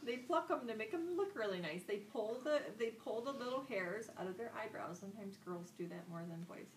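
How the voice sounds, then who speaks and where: A woman's voice: on the high side, lively intonation, a little fast. Woman teaching young children, distant roomy sound. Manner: instructional, repeats key words, prompts with questions. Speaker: elementary school teacher. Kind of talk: interactive classroom vocabulary lesson for young children. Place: classroom, recorded from a distance. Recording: roomy, distant microphone with faint background noise.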